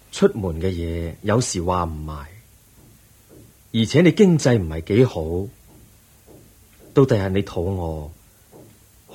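沙哑低沉嘅佛陀. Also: male voice, sits low, slightly rough, full character voice. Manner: measured, preaching, gently instructive. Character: calm, patient Buddha, a wise male teacher. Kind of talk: Cantonese radio drama acting.

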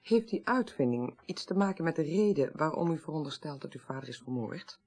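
An actor playing a tentative, sympathetic investigator in a radio drama, questioning politely and hesitantly. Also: male voice, on the high side, lively intonation, fairly quick, darkish tone.

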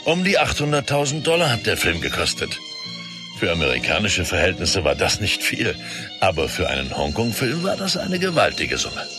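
Documentary radio play: enthusiastic producer reminiscing, lowish pitch, very varied intonation, music underneath. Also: male voice, wildly swooping pitch, normal speed. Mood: admiring, earnest. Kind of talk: scripted documentary-style radio play testimony.

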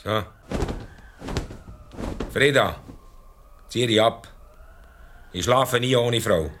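An actor playing a rich, stubborn, vengeful villager with a Swiss German accent, giving curt orders in a radio drama. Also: male voice, medium pitch, drawn-out words. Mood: commanding. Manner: curt, unmoved, gives flat orders. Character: rich, vengeful returned villager, cold, stubborn, commanding man.

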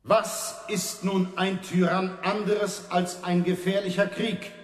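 An actor playing a stern, authoritarian reformation preacher in a German radio play, preaching in an emphatic, didactic way. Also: male voice, sits high, little pitch movement, slow pace. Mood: stern, insistent. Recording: echoey hall with long reverb.

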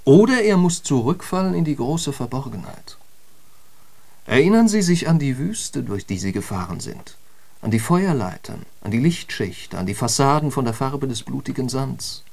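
An eloquent intellectual holds forth in a literary radio play. His middle-pitched male voice is emphatic and declamatory, with the bite of a polemicist. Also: sing-song, normal speed, gravelly, a little mellow. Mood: fervent. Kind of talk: literary radio drama monologue.

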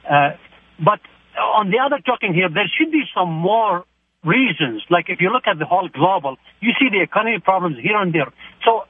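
A man's voice: high voice, lively intonation, a little fast, slightly rough, dry recording. A man from Iraq calling in to a radio talk show, earnest and searching as he talks about his situation. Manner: earnest, searching, plain-spoken. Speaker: caller. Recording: phone line.

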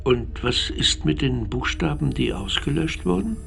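A man narrating a literary radio play in a musing, deliberate manner. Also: mid-range voice, expressive, vocal fry, fairly bright tone. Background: music.

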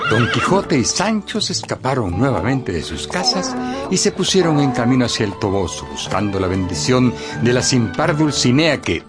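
A man narrating a radio drama in a lively scripted storytelling style. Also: medium pitch.

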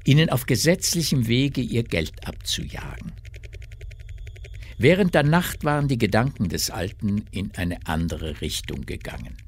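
A man's voice: medium pitch, gravelly, slight background noise. A man narrating a literary radio drama from a script.

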